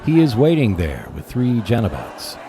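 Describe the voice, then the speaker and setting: A man's voice: on the low side, huge pitch swings, normal speed, noisy background. A man narrating a scripted radio play as host, in a dramatic storytelling manner.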